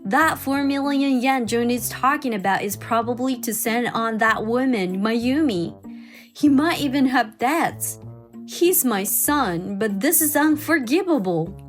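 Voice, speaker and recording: A woman's voice: a little high, animated, measured pace. Kindly, effusive older mother-in-law, played by a woman. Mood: indignant, supportive. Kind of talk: manga dub drama acting. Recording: music behind the voice.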